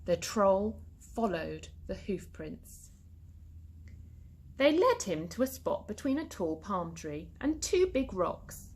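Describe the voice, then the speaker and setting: A woman reading a children's story aloud in an expressive, deliberate way, like a primary school teacher. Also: mid-range voice, slightly rough. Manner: animated, deliberate.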